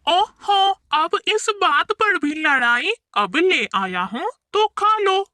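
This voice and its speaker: A woman's voice: very high-pitched, very animated, takes it slowly, bright, forceful tone, no echo, studio quality, full character voice. A woman voicing a tired, patient male parrot husband in a cartoon, with a weary, exasperated manner. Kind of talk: cartoon voice acting.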